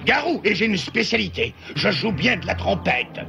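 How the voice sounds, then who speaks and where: Sly cartoon animal sidekick: swaggering flatterer, heavy gravel, swooping high delivery. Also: male voice, normal speed, over music, full character voice. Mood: fawning. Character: sly, swaggering animal troublemaker who flatters stronger bullies. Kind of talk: cartoon dub acting.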